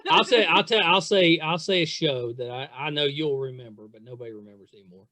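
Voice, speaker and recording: A woman's voice: low voice, a little fast, sharp tone. An American woman hosting a podcast, interviewing in an agreeable, casual, chatty way.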